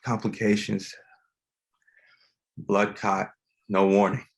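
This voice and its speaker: A grief-stricken man, acted by a man in a table read. He speaks extremely slowly and haltingly in a low, husky voice, subdued. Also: level tone, full character voice. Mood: grieving. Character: grief-stricken man breaking bad news by phone.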